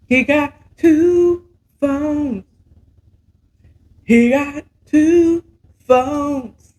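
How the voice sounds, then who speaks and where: An American man hosting a show, casually retelling a romance story with dramatic, sassy asides and drawn-out exclamations. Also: extremely high pitch, darkish tone, poor audio. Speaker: host of a story recap show.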